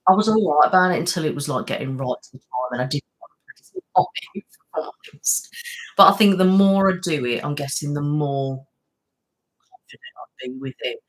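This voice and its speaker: A woman's voice: low voice, a bit bright, rough recording. A woman hosting a casual live stream from home, chatting on at length and answering viewers' comments. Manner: rambling, chatty, waffles on. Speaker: live-streaming channel host.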